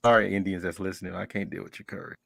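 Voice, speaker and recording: A man's voice: low-pitched, little pitch movement, racing, vocal fry, noisy background. A man making short, laid-back remarks in a casual podcast chat. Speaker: podcast panelist.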